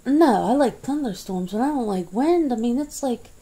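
Woman ASMR creator, casual one-sided home chat, rambling and laughing, husky mellow tone. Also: on the high side, expressive, normal speed. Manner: rambling, chatty, laughs often, many fillers. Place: indoors at home.

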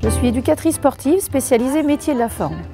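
A woman's voice: high-pitched, lively intonation, a little fast. A woman in her forties giving a prepared, explanatory testimonial as a fitness instructor. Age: 40s. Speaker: senior fitness instructor being interviewed. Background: music.

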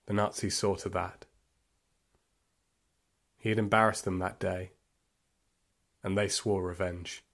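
An actor playing a fervent, bitter football supporter recalling the Nazi era in an audio play monologue, with deliberate pauses. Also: male voice, low voice, flat delivery, a bit bright, exaggerated voice. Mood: grave, bitter. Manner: impassioned storytelling with deliberate pauses. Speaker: narrator. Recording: music behind the voice.

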